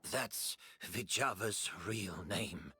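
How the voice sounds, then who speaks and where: Grave, slow master perfumer, forceful tone. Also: male voice, lowish voice, slightly rough, no room sound, heavily acted. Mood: resigned confessing. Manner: deliberate, solemn. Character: grave, weary master perfumer confessing hard truths. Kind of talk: dramatic video game voice acting.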